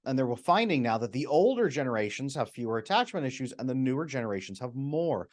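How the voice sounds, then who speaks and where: A man, a retired psychotherapist, speaking as a podcast guest and explaining at length, often acting out quoted people with sudden jumps up in pitch. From America. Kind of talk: animated explanatory interview talk.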